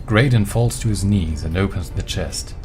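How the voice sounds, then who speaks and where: Deep-voiced male fantasy narrator. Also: moderate pace. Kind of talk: scripted dramatic fantasy narration. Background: prominent music.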